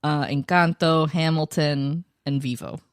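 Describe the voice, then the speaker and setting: A woman's voice: deep voice, unhurried, clear, resonant tone, dry recording, studio quality. A woman in her thirties co-hosting a podcast, teasing her partner and laughing often in casual chat. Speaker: podcast co-host.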